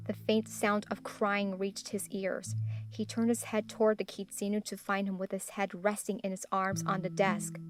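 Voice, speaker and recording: A woman reading scripted fan fiction as a story narrator. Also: mid-range voice. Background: instrumental guitar music.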